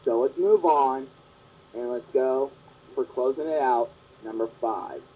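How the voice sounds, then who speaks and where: A young man hosting his own fan question show, talking in a rambling, earnest way that repeats itself, his voice hoarse and partly gone from a long cough. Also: mid-range voice, a little slow, a little hiss or hum. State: sore throat, partly lost voice from a long cough. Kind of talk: casual monologue answering fan questions. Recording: dull lo-fi home recording.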